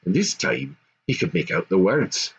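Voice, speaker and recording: Grandfatherly male reader, older, expressive and animated storybook narration, slightly dull home recording. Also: medium pitch, normal speed, creaky, slightly rough.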